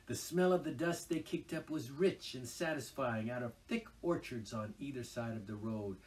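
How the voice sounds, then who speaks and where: A man reading a children's classic aloud as a storyteller, in an expressive, lively way. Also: mid-range voice, moderate pace, recorded from a distance. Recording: voice a little off-mic.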